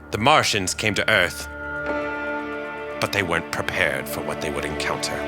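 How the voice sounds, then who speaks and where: Male actor as weary survivor, lowish pitch, very slow, halting, resolute, radio drama, faint music behind. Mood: hopeful, resolute. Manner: halting, pausing, deliberate. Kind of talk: dramatic radio play monologue.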